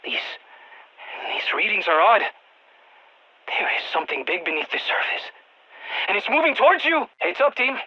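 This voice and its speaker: A woman voicing an eager, geeky field scientist in a video game, with a Latin American Spanish accent, talking over radio comms in an expressive, quirky way. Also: medium pitch, normal speed, pauses often, slightly rough, muffled recording. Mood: alarmed. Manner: expressive, quirky, nerdy enthusiasm. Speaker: mission guide scientist.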